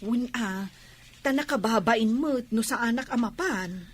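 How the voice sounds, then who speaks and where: A woman's voice: a little high, unhurried, husky. A woman playing a chatty married Ilocano village woman in a comedy radio drama, given to exclaiming and exaggerated reactions. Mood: conversational. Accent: Filipino, Ilocano.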